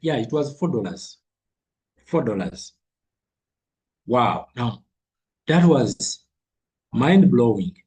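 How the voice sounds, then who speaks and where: A man's voice: mid-range voice, big pitch swings, slow pace, pauses often, a little mellow, breathy edge. A man with a cold teaching a live online trading lesson, repeating figures and phrases for emphasis. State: has a cold. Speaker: trading coach.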